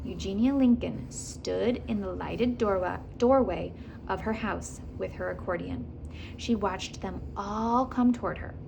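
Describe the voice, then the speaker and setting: A woman reading a children's story aloud in an expressive, animated storytelling manner. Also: mid-range voice, measured pace, creaky, noisy background. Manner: animated, acts out dialogue and animal sounds. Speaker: children's book reader. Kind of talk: expressive read-aloud storytelling with casual asides.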